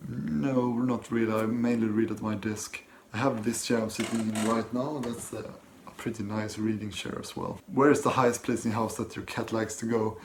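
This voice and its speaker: A Swedish man with a Swedish accent, speaking English as his second language, who reads viewer questions aloud and answers them softly and hesitantly from his desk at home. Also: fairly low pitch, steady tone, moderate pace, a little hiss or hum. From Sweden. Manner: soft-spoken, hesitant, many ums and restarts. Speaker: book channel host. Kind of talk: casual Q&A vlog, reading and answering questions.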